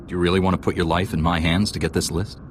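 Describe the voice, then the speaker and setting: An actor playing a composed, resolute knightly hero in a sci-fi video game, speaking in an earnest, measured way. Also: male voice, sits low, flat delivery, slight background noise, close to an everyday voice. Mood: calm. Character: steadfast, composed young male Jedi knight hero.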